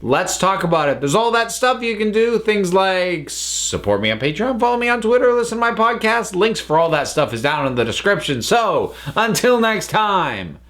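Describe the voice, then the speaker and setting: A man hosting a review vlog, talking casually and thoughtfully, with halting phrasing that often doubles back on words. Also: high voice, big pitch swings, a bit bright. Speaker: review channel host. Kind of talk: casual spoken review to camera.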